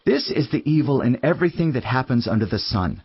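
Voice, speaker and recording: A man playing a weary, reflective teacher of wisdom in a dramatised audio Bible, husky-voiced, with a measured, earnest, declamatory delivery. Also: mid-range voice, big pitch swings, no room sound, muffled recording. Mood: solemn, reflective. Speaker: scripture narrator. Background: music.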